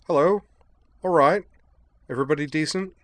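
A man's voice: a little high, moderate pace, dry recording, pitched above the performer's own voice, lightly acted. A man voicing a chipper, eccentric young British man full of upper-class banter in a storybook reading. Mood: jaunty.

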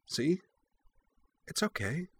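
A man voicing a young girl in a story, gentle with animals and fiercely defiant when crossed. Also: fairly high pitch, sing-song, slow, slightly rough, no room sound, pitched above the performer's own voice, full character voice. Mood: gentle, soothing. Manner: soft reassuring coaxing, scornful when crossed.

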